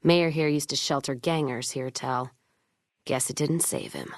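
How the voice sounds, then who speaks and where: A woman's voice: extremely low pitch, unhurried, no room sound, clean studio sound. A woman playing a blunt, hard-drinking, foul-mouthed wasteland companion in a video game, wry and wary, with clipped, folksy phrasing. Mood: grim, matter-of-fact.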